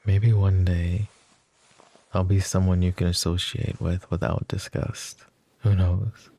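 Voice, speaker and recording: A man voicing a lovesick, possessive young mafia boss in an ASMR roleplay, speaking softly and intimately, often close to a whisper. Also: sits low, flat delivery, slow pace, vocal fry, full character voice. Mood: tender, yearning. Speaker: voice actor.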